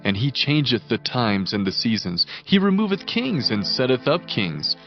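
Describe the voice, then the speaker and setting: An actor playing a devout, solemn Hebrew prophet in a dramatized scripture audiobook, speaking in reverent, emphatic oratory. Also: male voice, mid-range voice, very animated, moderate pace. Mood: reverent, thankful. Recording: dull band-limited audio under prominent music. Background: music.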